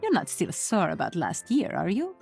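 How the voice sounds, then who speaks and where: A woman playing a confident, teasing young soldier in a video game, crisp and playful. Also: fairly low pitch, wildly swooping pitch, normal speed, a little mellow, soft background music, full character voice.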